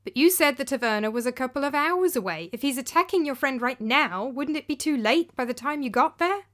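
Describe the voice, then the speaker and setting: A woman voicing a calm, sensible man who gently but firmly talks a friend out of panic. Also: sits high, a little fast, voice pushed up. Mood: reassuring. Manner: calm, gentle, firm reassurance. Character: calm, sensible older male host.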